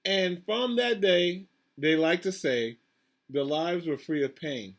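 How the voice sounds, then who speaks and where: A man's voice: fairly high pitch, slow pace, vocal fry. A man, a school principal, reading a picture book aloud to children, with asides and questions put straight to them. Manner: addresses children directly, asks questions, repeats himself.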